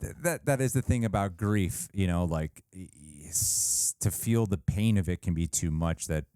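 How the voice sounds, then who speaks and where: A man's voice: low-pitched, moderate pace, dark tone, smooth, no echo, clean studio sound. A man co-hosting a reaction show, talking casually and reflectively as he reviews.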